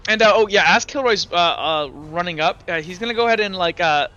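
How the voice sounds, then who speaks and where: Male game master, high voice, bright tone, thinks aloud over dice rolls. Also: fairly quick, clear, resonant tone, dull audio. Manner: talks through rules and dice aloud, often correcting himself. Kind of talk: narrating and refereeing a tabletop game.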